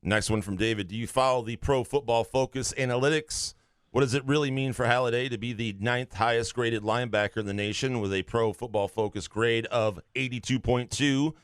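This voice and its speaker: A man's voice: mid-range voice, fairly even intonation, brisk, smooth, dry recording. Blunt wisecracking man reading questions. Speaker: sports podcast co-host reading listener questions. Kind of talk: casual sports talk.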